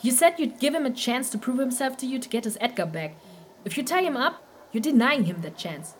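A woman playing a nervy, outspoken, fair-minded companion in an audio drama, hesitant but quick to protest. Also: sits high, a little hiss or hum. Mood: reasoning, complaining. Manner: hesitant yet protesting, expressive. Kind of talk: audio fiction acting.

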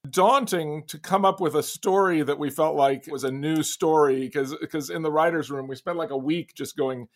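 Relaxed man with self-deprecating humor. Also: on the high side, normal speed. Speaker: guest, animation producer. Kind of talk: interview answers.

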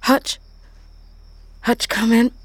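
An actress playing a frightened, blunt-talking truck driver who swears in an audio drama. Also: female voice, drawn-out words, a little hiss or hum. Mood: tense. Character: frightened woman trucker alone on a night road.

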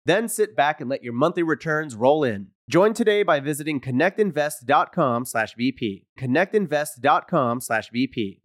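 A man reads sponsor spots in a smooth, upbeat way, his pitch moving in a lively way. His voice sits a little high, and the recording is dry and close. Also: normal speed, few pauses, no echo. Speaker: podcast co-host reading ads. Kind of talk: read sponsor ads.

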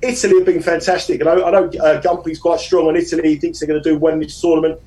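A man with a strong South London accent, talking fast and joking as a guest on a casual podcast. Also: a little high, far from the mic. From South London, England. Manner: fast-talking, jokey, animated. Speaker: guest betting pundit.

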